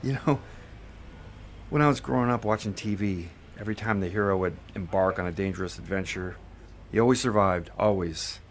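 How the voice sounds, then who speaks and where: An actor playing a weary, stubborn, reluctant hero in naturalistic audio drama dialogue, plain-spoken and doubtful. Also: male voice, low-pitched, fairly quick, a little hiss or hum. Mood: reflective, doubtful.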